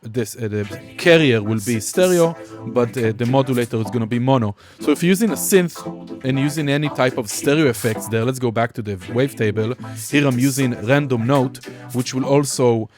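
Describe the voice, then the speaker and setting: A man giving an informal, explanatory software walkthrough as a music production instructor. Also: medium pitch, a little fast, hardly any pauses. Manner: casual, explanatory. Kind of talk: screen-recorded software tutorial, informal walkthrough.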